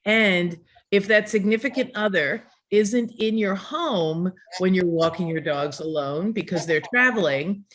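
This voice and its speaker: An older woman hosting a community video call, talking earnestly and rambling with fillers. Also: lowish voice.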